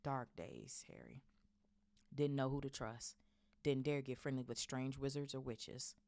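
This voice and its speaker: A woman voicing a big, gruff, loyal and emotional male groundskeeper in a storybook, with a blustering, halting, folksy manner. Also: a bass voice, expressive, darkish tone, no echo, exaggerated voice. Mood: grave.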